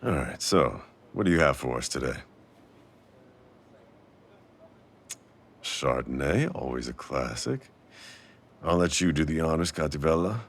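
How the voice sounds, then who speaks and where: A man playing a warm, teasing restaurant owner with a secret crush in a casual, intimate boyfriend audio roleplay. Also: extremely low pitch, animated, normal speed, gravelly, full character voice. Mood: relaxed, warm.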